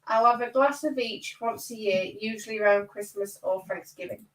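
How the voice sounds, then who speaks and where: A woman's voice: mid-range voice, normal speed, recorded from a distance, crowd noise. Woman co-host, bunged up with a cold, chatty, roomy echoey sound, set back from the mic. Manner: chatty, addresses viewers by name. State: congested from a cold. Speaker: livestream co-host. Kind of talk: casual chat, reading out viewer comments.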